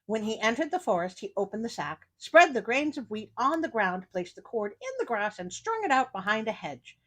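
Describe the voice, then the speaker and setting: A woman's voice: a little high, very animated, normal speed, fairly bright tone. A woman reading a fairy tale aloud as a storyteller, breaking off for casual, sardonic asides. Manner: sardonic, wry commentary. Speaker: storyteller, host of a story show.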